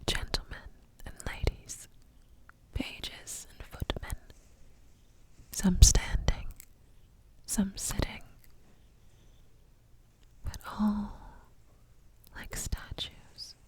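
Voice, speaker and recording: A woman whispering an ASMR bedtime story in short, soothing phrases with pauses between them. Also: mid-range voice, flat delivery.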